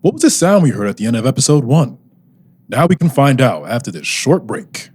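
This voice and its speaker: A man narrating a scripted comic radio serial with animated storytelling. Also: medium pitch, brisk, breathy edge. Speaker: narrator of an audio drama.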